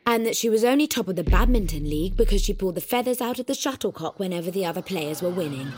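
An actress playing a sly, malicious inner voice, narrating in a British accent with measured, deadpan control. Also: female voice, medium pitch, natural voice. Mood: coolly gleeful. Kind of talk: scripted first-person drama narration. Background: music.

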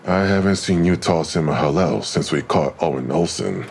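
Police detective, very deep pitch, gravelly fry, suspicious, dry, naturalistic drama. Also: male voice, normal speed, a little mellow, a little hiss or hum. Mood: probing. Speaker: actor in a fiction podcast. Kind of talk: naturalistic audio drama acting.

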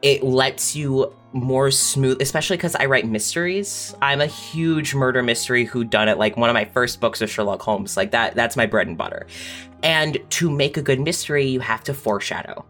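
A woman's voice: extremely low pitch, normal speed, a bit bright. Candid woman writer over faint guitar. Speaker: mystery writer, podcast guest. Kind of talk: candid conversational reflection.

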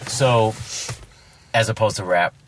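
A man hosting a podcast in casual conversation, talking in a halting, rambling way with frequent restarts, recorded in a parked car. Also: fairly low pitch, slight background noise, low-quality recording. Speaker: podcast host.

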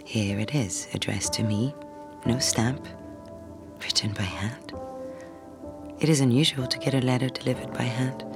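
An actress playing a polite young office secretary in an audio drama, who also narrates in the first person. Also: female voice, rumbling low, normal speed. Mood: reflective. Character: polite, fond French office secretary, engaged young woman. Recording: music behind the voice.

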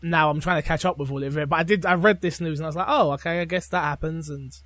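Higher-pitched man bantering, close dry sound. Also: steady tone, fast, a bit bright, no room sound. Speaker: podcast co-host. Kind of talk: casual banter.

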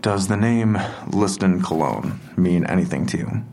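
Gravelly, very low-voiced police detective. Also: male voice, expressive, a little mellow. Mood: probing. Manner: measured, businesslike questioning. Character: steady, probing male police detective. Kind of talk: audio drama acting.

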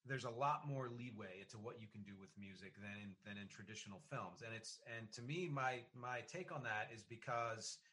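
A man in a conversational interview, talking in an analytical, halting way with emphasis on key words, as a podcast host would. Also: on the low side, sharp tone. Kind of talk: conversational interview about music and film.

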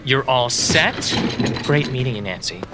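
A man's voice: mid-range voice, lively intonation, a little slow, over loud music, close to an everyday voice. An actor playing a friendly, encouraging man making breezy, reassuring small talk in an audio drama.

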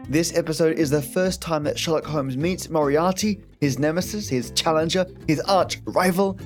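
A man's voice: fairly high pitch, moderate pace. A man hosting a podcast, giving a chatty scripted introduction in a wry, friendly manner. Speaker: podcast host. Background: plucked guitar music.

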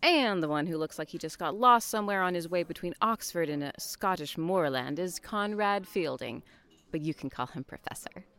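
A woman's voice: fairly low pitch, expressive, normal speed, a bit bright. A woman voicing a sardonic young barista who teases her regulars with dry wit, in an audio drama. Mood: wry. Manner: wry, playful teasing. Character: sardonic young nonbinary barista, teasing and witty.

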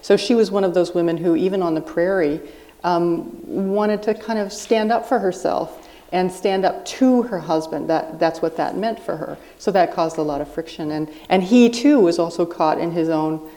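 A woman's voice: on the low side, moderate pace, mellow. A woman in her fifties from South Dakota, a guest author answering earnestly and reflectively at a live onstage interview. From South Dakota, USA. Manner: earnest, reflective, thinking aloud. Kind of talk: interview on stage. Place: stage at a live book festival event.

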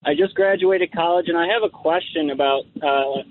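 Young male caller in his twenties, high voice, tinny phone line, hesitant and halting. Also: a little hiss or hum. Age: 20s. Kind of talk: phone-in call.

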